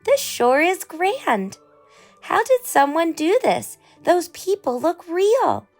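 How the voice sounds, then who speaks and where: A woman voicing an excitable, curious young girl in a children's storybook, exclaiming with wide-eyed wonder. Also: very high voice, slow, faint music behind, voice pushed up, exaggerated voice. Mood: awed.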